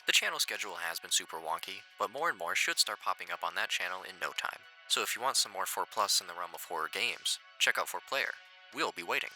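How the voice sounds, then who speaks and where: A man delivers a scripted channel promo with a very sharp, forceful bright voice. He speaks quickly and sits low in pitch, with music playing behind him. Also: animated, low-quality recording. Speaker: channel host.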